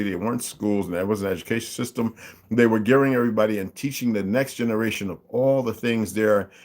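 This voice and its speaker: A man hosting his own channel, talking through his views in a casual monologue, with emphatic asides and listing figures aloud. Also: lowish voice. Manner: emphatic, mocking interjections; reads figures aloud, asks listeners to follow along. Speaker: channel host.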